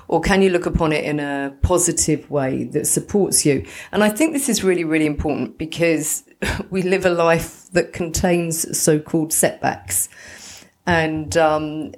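A woman co-hosting a podcast with her daughter, asking question after question and circling back on her points. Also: low voice. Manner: warm-hearted, asks many questions, repeats herself. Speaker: podcast co-host, mother of the other host. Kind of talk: casual podcast chat.

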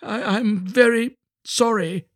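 A man's voice: high voice, slow pace, a bit bright, no room sound, clean studio sound, pitched above the performer's own voice, heavily acted. A man voicing a shy, timid, humble little man in a storybook, apologetic and hesitant, eager to please. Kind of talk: storybook character voice.

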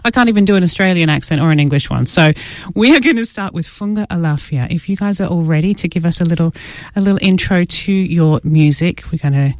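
A woman's voice: fairly low pitch, fast talker, no echo. Chatty, self-deprecating woman hosting radio. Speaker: radio show host. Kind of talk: casual live interview chat. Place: radio studio. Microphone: studio microphone.

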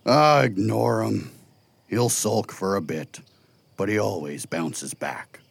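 Huge jovial furry creature, audio drama: slow, deeply creaky delivery, swooping playfully. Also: male voice, no room sound, heavily acted. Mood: teasing. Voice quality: gravelly, husky. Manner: unhurried, booming, playfully teasing. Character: huge furry beast, teasing, jovial council caretaker.

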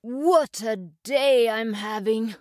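A woman plays a timid, innocent storybook mole, a little fellow full of wonder. The words come out slowly and drawn out, recorded dry and close. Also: fairly high pitch, a little mellow, dry recording, voice pushed up. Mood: delighted. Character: shy, naive, easily delighted male mole. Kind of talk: storybook character reading.

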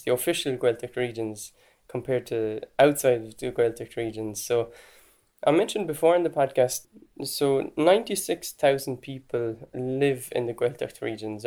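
A man's voice: medium pitch, mellow. Chatty Irish man hosting a podcast. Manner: conversational, chatty asides with "like" and "right?". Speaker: podcast host. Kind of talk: informal explainer podcast.

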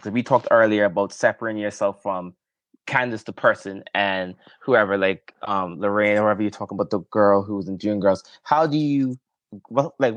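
A man with a fairly low voice hosting a podcast interview, affirming his guest often. The sound is dry and close to the mic. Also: no echo. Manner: friendly, affirming, frequent "okay" and "yes", laughs often. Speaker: podcast host. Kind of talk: casual interview chat.

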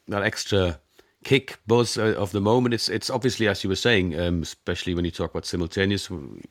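A German-native man in his forties speaks in a deep, low voice, recorded dry and close. He talks as an interview guest, pausing on ums and restarting his sentences. His delivery is relaxed and conversational. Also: no room sound. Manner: hesitant, many ums and restarts.

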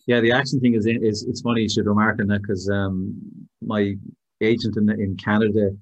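Irish man, Waterford accent, low husky voice, easygoing host, dull lo-fi recording. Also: level tone, normal speed. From Ireland, Waterford. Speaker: podcast host. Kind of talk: podcast interview.

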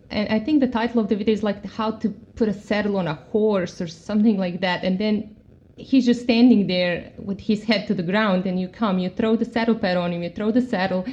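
A woman's voice: medium pitch, mellow. A woman from Croatia, a guest, politely describing a video in conversation. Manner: polite, apologetic, recounting a video. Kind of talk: casual interview chat. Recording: slightly dull lo-fi audio.

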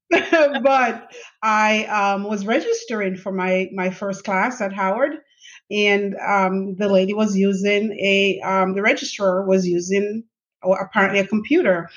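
A middle-aged woman telling her life story in a chatty, lively way, with plenty of ums and easy laughter. Her pitch sits in the middle of the range. The recording is a little dull and lo-fi. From Jamaica. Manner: animated, chatty, laughs often, many fillers. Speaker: guest, chief information officer. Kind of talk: conversational interview storytelling. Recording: slightly dull lo-fi audio.

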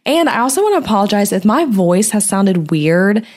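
Slightly hoarse woman, chatty podcast host. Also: mid-range voice, big pitch swings, normal speed, a little mellow. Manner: chatty, uses "like" a lot. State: slightly hoarse from a sore throat. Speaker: podcast host and web designer. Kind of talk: casual solo podcast talk.

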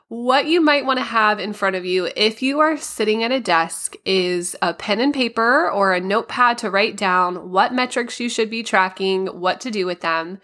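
A woman hosting a solo podcast, a little raspy and hoarse from a cold, explaining things in a practical, step-by-step way. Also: fairly high pitch, bright, forceful tone. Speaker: podcast host.

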